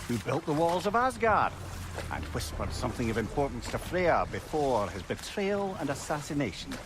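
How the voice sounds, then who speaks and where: An actor playing a talkative, learned old counsellor in a video game, with an eloquent, theatrical way of talking. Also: male voice, a little high, big pitch swings, normal speed, music in the background. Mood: wry storytelling. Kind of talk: video game dramatic acting.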